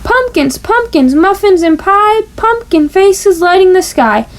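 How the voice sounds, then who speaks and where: Excitable little storybook boy frog, played by a woman: high, swooping, exclaiming and chanting in singsong. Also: a little slow, exaggerated voice. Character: Froggy, excitable bouncy young boy frog.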